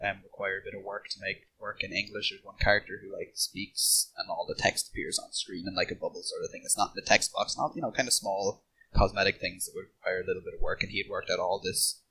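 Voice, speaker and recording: Low voiced guy on a podcast, pretty monotone, just chatting casually. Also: fast talker, slight background noise, low-quality recording. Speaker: podcast panelist. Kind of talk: casual discussion.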